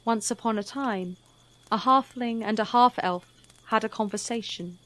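A woman narrating a scripted fantasy story in a measured, dramatic storytelling manner. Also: a little high, fairly bright tone.

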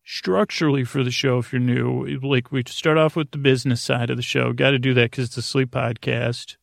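A man with a rusty, gravelly voice hosting a bedtime podcast, meandering absent-mindedly through halting tangents and often interrupting himself. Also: medium pitch, steady tone, dry recording, studio quality. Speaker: podcast host. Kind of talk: rambling bedtime monologue.

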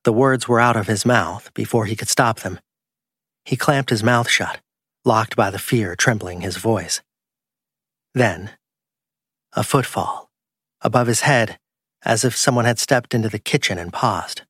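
A man's voice: on the low side, unhurried, long pauses, fairly bright tone, breathy edge, no room sound. Dry-sounding man narrating crime fiction. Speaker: audiobook narrator.

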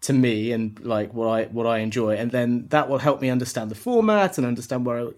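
A man's voice: medium pitch, fairly quick, a little mellow. A man co-presenting a podcast, talking through his thoughts in a loose, discursive, conversational way. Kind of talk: conversational news commentary.

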